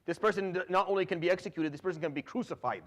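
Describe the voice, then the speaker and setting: A man's voice: high-pitched, rapid-fire, fairly bright tone. A man in his thirties, raised in Syria, giving an informal Quran commentary lecture, talking conversationally with many restarts and swift Arabic recitations. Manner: conversational, frequent restarts and repeated words, drops Arabic fillers.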